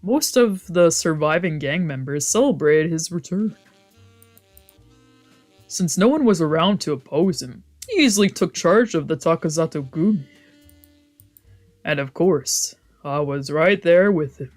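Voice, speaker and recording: A casual game streamer with a mid-pitched voice. They read story text aloud and break off into loose, sweary commentary and exclaimed reactions. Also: animated, measured pace, darkish tone, soft background music. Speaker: streamer doing a let's play.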